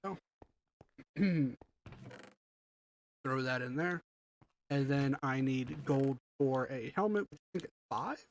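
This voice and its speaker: A man's voice: medium pitch, slow pace, long pauses, slight background noise. A man streaming a block-building game, congested from allergies, thinking aloud and rambling as he builds. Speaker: livestream gamer. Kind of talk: casual gameplay commentary.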